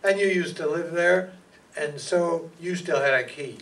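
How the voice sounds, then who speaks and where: A man's voice: on the high side, a little slow, a bit bright. An older man acting a wry, hardboiled private detective in a stage radio play, narrating and questioning. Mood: confident. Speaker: amateur radio play actor. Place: small hall stage, indoors. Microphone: stand microphone, recorded by far camera. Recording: noisy, distant hall PA recording.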